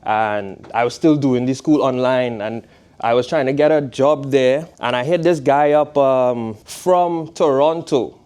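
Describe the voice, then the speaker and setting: Young trinidadian guy, smooth clear voice, chill chatty class talk, keeps going "you know". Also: medium pitch, a little slow, a little mellow. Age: 20s. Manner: laid-back, conversational, asks "you know what I mean". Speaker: guest speaker, footwear designer. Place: college classroom.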